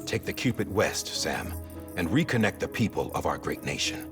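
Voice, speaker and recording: An actor playing a grave, persuasive government official in a video game, speaking with earnest urging. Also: male voice, deep voice, very animated, moderate pace, loud music behind the voice. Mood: earnest, instructive. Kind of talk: cinematic video game acting.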